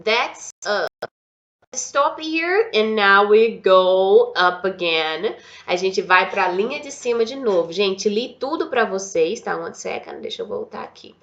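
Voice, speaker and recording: A Brazilian woman with a cold leads an online English lesson in a chatty way, reading aloud and drilling pronunciation. Her pitch sits in the middle for a woman. The recording is a little dull and lo-fi. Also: animated, unhurried, a bit bright. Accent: Brazilian Portuguese. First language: Portuguese. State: has a cold. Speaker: English teacher.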